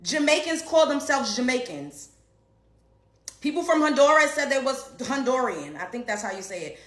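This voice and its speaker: A woman's voice: high-pitched, very animated, moderate pace, fairly bright tone. A woman, ranting bluntly and profanely as an online commentator and comedian. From Brooklyn, New York. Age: 30s. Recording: roomy echo.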